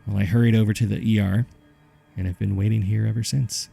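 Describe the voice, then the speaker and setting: A man with a gravelly, husky voice reading scripted horror case files in a measured, subdued way, as narrator and host. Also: on the low side, little pitch movement, mellow, faint music behind.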